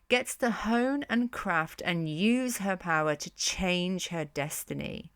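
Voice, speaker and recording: A woman's voice: medium pitch, clear, resonant tone, no echo, clean studio sound. A woman hosting a podcast, giving commentary on myth and books in a wry, conversational way with opinionated asides. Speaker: podcast host.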